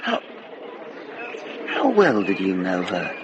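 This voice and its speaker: An actor playing a grave, dutiful medieval officer in a radio drama, speaking in a measured, formal way. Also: male voice, low-pitched, huge pitch swings, muffled recording, background noise, exaggerated voice. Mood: measured, wry. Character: grave, dutiful medieval lord and sheriff's officer, war-weary. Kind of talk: British radio drama acting.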